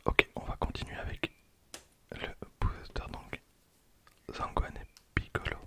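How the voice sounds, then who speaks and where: A person in their twenties whispering casual ASMR commentary close to the microphone, with a breathy, unvoiced delivery. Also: a little slow. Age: 20s. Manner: hushed, casual asides. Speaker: ASMR video creator. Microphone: close handheld-recorder microphone.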